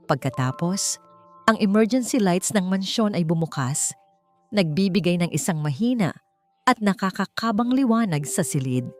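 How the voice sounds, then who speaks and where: Low-voiced woman narrating dramatically. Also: darkish tone. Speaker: audiobook narrator. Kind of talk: dramatic scripted story narration.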